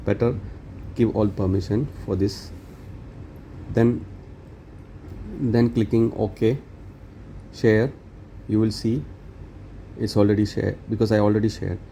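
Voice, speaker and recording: Male tutorial presenter, lowish voice, halting non-native phrasing, explaining steps off the cuff over light ambient noise. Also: fairly even intonation, creaky, warm tone, rough recording. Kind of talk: unscripted step-by-step tech tutorial.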